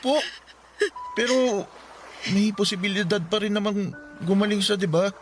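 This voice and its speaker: Young actor doing dutiful eldest son, polite, anxious, high voice, light music behind. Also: male voice, normal speed, close to an everyday voice. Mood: fearful. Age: young adult. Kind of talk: radio drama acting.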